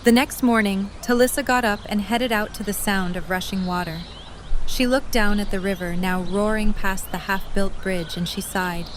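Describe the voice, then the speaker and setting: A woman's voice: mid-range voice, fairly even intonation, normal speed. Woman narrating a story over rain. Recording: clear rain noise behind. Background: rain ambience.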